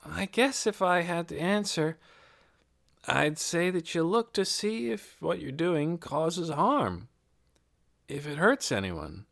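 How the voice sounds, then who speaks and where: Narrator playing a weary, practical woman, raised pitch. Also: lively intonation, takes it slowly, gravelly, a bit bright, heavily acted. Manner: tired, matter-of-fact. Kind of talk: audiobook character dialogue.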